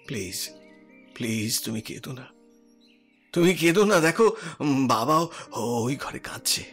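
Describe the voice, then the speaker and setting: An actor playing a tender middle-aged husband and father in an audio story, halting and pleading as he holds back tears. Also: mid-range voice, lively intonation, a bit bright, husky, soft background music. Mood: soothing, upset. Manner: halting, pleading, repeats himself.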